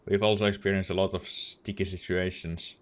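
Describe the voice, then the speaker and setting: A man with a fairly low voice plays a game and comments casually. His reactions are brief, laid-back and offhand, like a let's player talking along. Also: little pitch movement, brisk.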